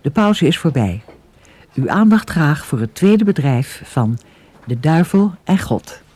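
A man's voice: a little high, very animated, measured pace, darkish tone. A man making a formal live announcement as a radio presenter.

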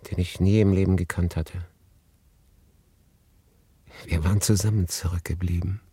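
An actor playing a melancholy, resigned refugee man in a literary radio play, speaking in a husky voice with sombre, measured phrasing and deliberate pauses. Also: low voice, warm tone, dry recording, exaggerated voice. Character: melancholy male refugee, resigned, recounting his story. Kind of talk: literary radio play, first-person narration and dialogue.

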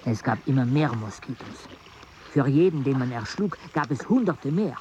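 A man's voice: mid-range voice, animated, moderate pace, a little hiss or hum, natural voice. An actor playing a dry, sardonic male botanist narrating an expedition in a radio drama. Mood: measured narration. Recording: dull sound over loud outdoor ambience.

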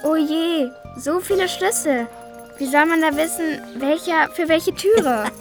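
A woman's voice: high-pitched, measured pace, over music. An actress playing a helpful, sympathetic young schoolgirl in a children's radio play, speaking earnestly. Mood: concerned, eager.

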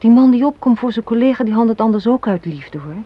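A woman's voice: a little high, lively intonation, fast. An actress playing a hard-minded, cynical young woman in a Dutch radio play, arguing and lecturing with rhetorical questions. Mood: bitter, lecturing. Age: young adult. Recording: dull, noisy old radio recording.

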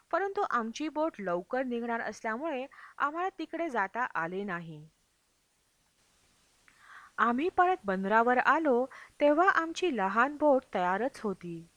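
Higher-pitched woman reading an audiobook. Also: a bit bright, no echo. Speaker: audiobook reader. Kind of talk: scripted narration of a travel memoir.

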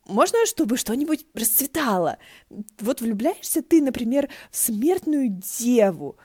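Женщина озвучивает восторженного болтливого молодого бессмертного заклинателя в аудиокниге, оживлённо и театрально. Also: female voice, a little high, unhurried, slightly rough, no echo, studio quality, voice pushed up, exaggerated voice. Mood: dreamy, enthusiastic. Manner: eager, dramatic, animated. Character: exuberant, chattering young man, an immortal cultivator. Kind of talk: fan fiction audiobook dialogue.

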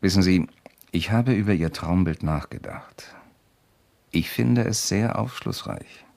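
An actor playing a persistent, slyly courteous investigator in a German radio crime drama, questioning insistently and deliberately. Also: male voice, low-pitched, gravelly, darkish tone. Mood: mysterious, coaxing. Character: persistent amateur investigator, male, probing with sly courtesy.

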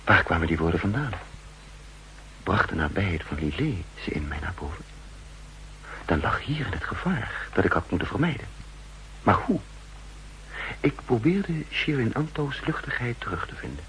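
An actor playing a brooding undercover double agent who narrates his story in measured, introspective Netherlands Dutch, in a 1960s radio drama. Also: sits low, sing-song, fairly bright tone, poor audio. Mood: alarmed, inward. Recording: hissy 1960s radio recording.

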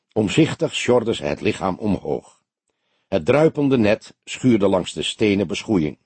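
Husky, low-voiced male audiobook narrator. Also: darkish tone, no echo. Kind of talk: scripted dramatic story narration.

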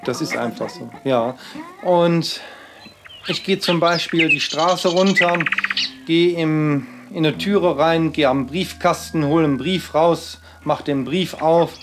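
A man giving a rambling personal monologue, speaking haltingly, often repeating himself and circling back on his phrases. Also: a little high, over music.

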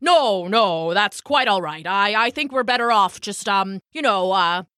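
A woman's voice: medium pitch, lively intonation, unhurried, fairly bright tone, dry recording, studio quality. A woman voicing a grumpy, bulky old male bullfrog in a storybook, with a blustering, pleading manner. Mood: sheepish, mumbling.

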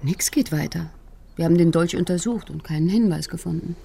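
An actress playing a cool, knowing, sardonic investigator in a naturalistic German radio crime drama. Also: female voice, lowish voice, brisk, vocal fry, dark tone, slightly rough. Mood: dry.

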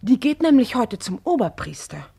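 An actress in a German radio play voicing a clingy, romantic maidservant who coos and sighs sentimentally. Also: female voice, fairly high pitch, very animated, moderate pace, gravelly, warm tone, breathy edge, full character voice. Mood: tender. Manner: cooing, sighing, sentimental. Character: clingy, adoring, romantic plump blonde maidservant.

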